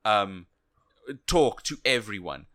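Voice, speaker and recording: A man co-hosting a casual game review podcast, speaking in a halting, jokey way with frequent repeated phrases. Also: fairly low pitch, very animated, bright, forceful tone. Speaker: podcast co-host.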